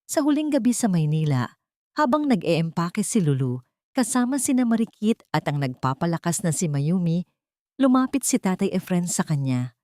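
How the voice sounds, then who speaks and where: A female audiobook narrator with a fairly low voice. She reads dramatic fiction in solemn, deliberate phrases. The recording is dry, with very little room sound. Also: big pitch swings, mellow, no echo. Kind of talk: dramatic scripted narration of a love story.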